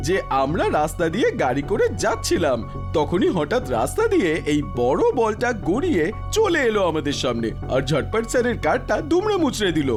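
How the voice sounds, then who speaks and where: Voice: medium pitch, huge pitch swings, fairly quick, over music. A performer voicing a loyal, helpful cartoon super car with an assured, earnest way of explaining things. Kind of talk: animated cartoon dubbing.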